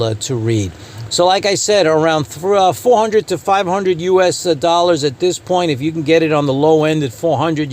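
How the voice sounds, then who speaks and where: A man hosting an informal product review, speaking in a halting way with frequent uh pauses and repeated phrases. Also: on the high side. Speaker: channel host reviewing radio gear.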